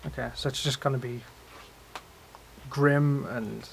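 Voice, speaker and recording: A man's voice: medium pitch, fairly even intonation, measured pace, gravelly. A man talking as a teacher giving informal feedback, with rambling, tentative phrasing. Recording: noisy room recording.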